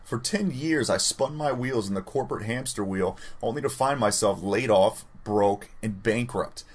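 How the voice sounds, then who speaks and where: A man with a fairly low voice narrates an advert. The delivery is scripted, built on rhetorical sales phrasing. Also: moderate pace. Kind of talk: scripted, repetitive sales pitch.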